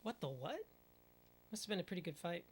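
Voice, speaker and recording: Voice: medium pitch, expressive, fairly quick, a little hiss or hum. A speedrunner giving casual commentary over a run, muttering at the game and using mild minced oaths.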